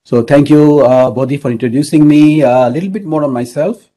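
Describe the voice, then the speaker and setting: Mellow-voiced man giving a lecture. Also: a little high. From Bangladesh. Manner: measured, uses many fillers. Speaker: engineering professor. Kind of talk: online lecture.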